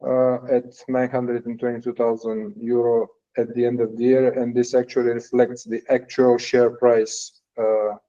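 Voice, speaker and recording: A man presenting financial results as a company executive on an earnings call, in a halting, hesitant delivery with frequent pauses. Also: medium pitch, flat delivery, warm tone. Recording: dull, lo-fi call audio.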